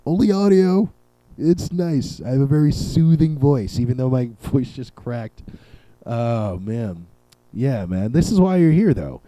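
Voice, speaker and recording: Sarcastic young man with a dark, mellow voice. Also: medium pitch, very animated, measured pace, no echo, poor audio. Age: young adult. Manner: sarcastic, rambling, profane riffing. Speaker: podcast host. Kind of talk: solo comedic podcast rant. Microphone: camera and podcast mic.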